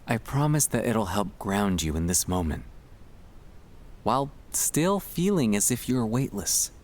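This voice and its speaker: Slow, low-pitched, gentle man guiding relaxation. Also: big pitch swings. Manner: gentle, soothing, reassuring. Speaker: ASMR sleep-aid guide. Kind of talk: scripted ASMR guided relaxation.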